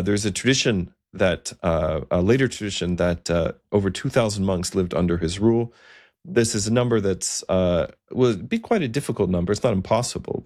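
A man with a low-pitched voice hosts a history podcast. He narrates like an earnest storyteller working loosely from notes, pausing to explain things as he goes. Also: darkish tone. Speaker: podcast host. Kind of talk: semi-scripted historical storytelling.

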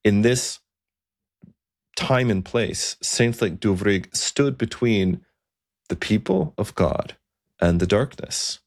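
Male podcast host, very low voice, earnest storyteller who stops and restarts often. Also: takes it slowly, pauses often. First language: not a Welsh speaker. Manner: earnest, with frequent ums and restarts.

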